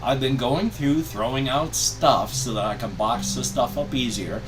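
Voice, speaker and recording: A man's voice: medium pitch, a little slow. An older man talking in a casual personal vlog, rambling and stressing his words emphatically. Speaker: video creator. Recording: distant mic, music behind. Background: music.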